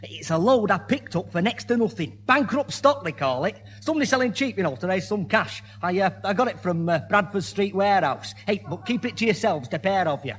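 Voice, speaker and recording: A male actor playing a shifty, excitable market trader with a Northern English accent in a radio sitcom. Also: high-pitched, a little fast, few pauses. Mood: sly, salesmanlike. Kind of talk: 1970 British radio sitcom acting. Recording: old radio recording, faint hiss.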